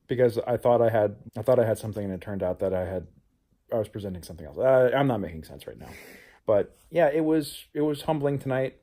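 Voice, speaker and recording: A man's voice: mid-range voice, dark tone. A man talking reflectively in a personal vlog as a playwright, earnest and introspective. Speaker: playwright, show host.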